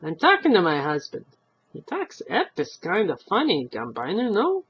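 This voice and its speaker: A woman reader plays a blunt old wife who nags and scolds in Yiddish-inflected English. Her pitch leaps high and swings widely as she fires off indignant questions. The storybook reading has a slightly husky grain and a dull, lo-fi sound. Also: huge pitch swings, normal speed, heavily acted. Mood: nosy, dismissive.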